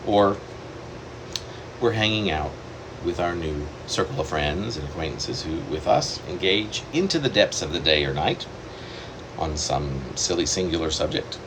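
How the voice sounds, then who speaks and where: A man reading his own poem aloud in a measured, reflective way, pausing deliberately between phrases. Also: low voice. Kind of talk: poetry reading. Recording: steady air-conditioner hum behind the voice.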